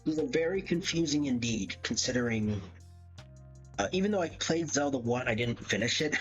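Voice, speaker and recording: A man's voice: medium pitch, lively intonation, moderate pace. Man thinking aloud, dull lo-fi mic. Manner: hesitant, thinking aloud, self-correcting. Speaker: livestream host. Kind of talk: casual livestream commentary, ranking game characters. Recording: dull lo-fi mic, music behind. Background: background music.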